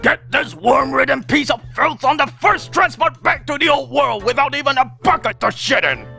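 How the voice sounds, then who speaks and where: A man voicing crude, angry grumblers with a gravelly, forceful delivery in a dramatized fan fiction reading. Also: very high voice, expressive, normal speed, soft background music, full character voice. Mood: furious. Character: furious gruff commander and crude, disgusted grumblers.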